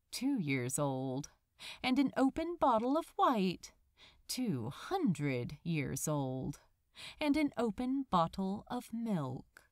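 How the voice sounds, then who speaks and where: A woman narrating a story expressively, with deliberate, dramatic phrasing. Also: mid-range voice, no echo, clean studio sound. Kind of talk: expressive scripted fan fiction narration.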